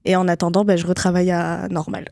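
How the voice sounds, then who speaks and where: A young woman student answering interview questions casually, in a halting way with fillers. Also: on the low side, little pitch movement, vocal fry, darkish tone. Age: young adult.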